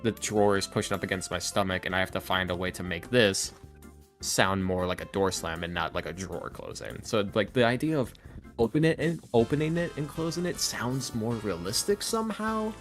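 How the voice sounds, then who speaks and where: Young male podcast guest, lowish voice, slangy self-mocking banter over faint music. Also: brisk. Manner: animated, self-deprecating, exasperated joking, slangy. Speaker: ASMR roleplay audio creator, podcast guest. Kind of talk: casual podcast chat.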